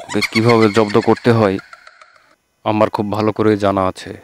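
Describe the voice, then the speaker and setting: A man's voice: on the low side, little pitch movement, normal speed, slight background noise, natural voice. Man playing Rahim, an emphatic village friend. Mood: defiant. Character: young village man Rahim, anxious yet defiant friend. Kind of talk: children's fairy-tale cartoon acting.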